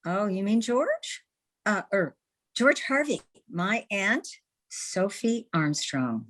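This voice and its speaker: A woman's voice: medium pitch, sing-song, slow, fairly bright tone, full character voice. A woman playing a proud, flustered young newspaperwoman in an old-time radio drama, hesitant and exasperated.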